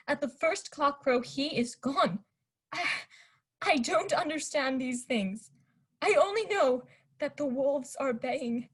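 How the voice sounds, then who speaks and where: A young woman playing a frightened young male traveler who reads out his diary in a student radio play. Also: high voice. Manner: earnest diary narration, rising to panic.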